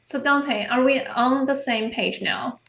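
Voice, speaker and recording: A woman playing a stern female vampire in a tabletop game, questioning another character in a measured, pointed way. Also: high-pitched, fairly bright tone. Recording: echoey room.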